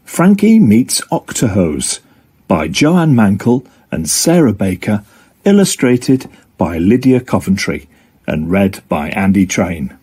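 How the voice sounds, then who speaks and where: A man narrating a scripted children's story in an expressive, deliberate way. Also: fairly low pitch, slightly rough.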